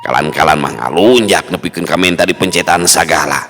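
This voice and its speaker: Man doing an impatient grumbling henchman, sharp-toned. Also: deep voice, brisk. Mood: resentful grumbling. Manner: grumbling, pushy, complaining. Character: impatient, resentful male henchman, grumbling underling. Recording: long hall reverb, music underneath.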